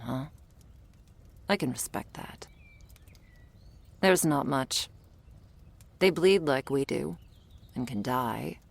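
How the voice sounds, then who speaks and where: A woman playing a gruff, world-weary female monster hunter in an ASMR audio roleplay, curt, sardonic and bossy as she addresses the listener. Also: exaggerated voice. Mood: grim, instructive. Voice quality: low, husky, breathy with gravelly creak.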